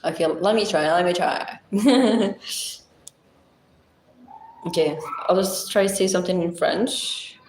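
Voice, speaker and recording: Moroccan-accented woman, livestream host, deep-voiced, blunt and chatty, unhurried, roomy echo. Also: a little mellow, slight background noise. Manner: chatty, blunt, laughs often, thinks aloud. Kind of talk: casual livestream chat reading comments.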